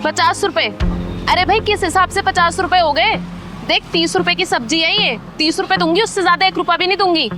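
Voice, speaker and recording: Arrogant, very high-voiced young customer. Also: female voice, fairly quick, over music. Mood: contemptuous. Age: young adult. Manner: haughty, argumentative. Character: arrogant, haggling, rude young female customer.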